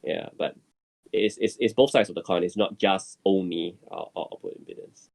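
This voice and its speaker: A man on a podcast panel explaining casually and haltingly, repeating words as he goes. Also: low voice, vocal fry, darkish tone, dry recording.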